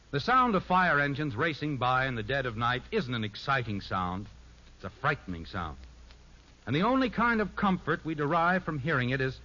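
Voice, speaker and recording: A man's voice: a little high, sharp tone. A man with an American accent reading scripted narration as a 1950s radio announcer, earnest and emphatic. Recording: dull old radio recording.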